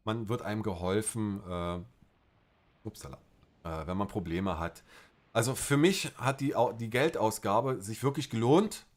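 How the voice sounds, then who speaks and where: A man's voice: lowish voice, measured pace. A middle-aged man with a Berlin accent chatting casually on a livestream, rambling with many fillers and restarts. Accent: Berlin German. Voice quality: rambling, filler-heavy, colloquial. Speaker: livestream host.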